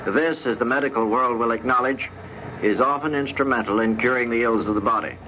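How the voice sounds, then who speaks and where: Formal man reading, dull hissy radio recording. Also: mid-range voice. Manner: formal, deliberate. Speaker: diplomat giving a recorded account. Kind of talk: scripted, read-aloud reflective account.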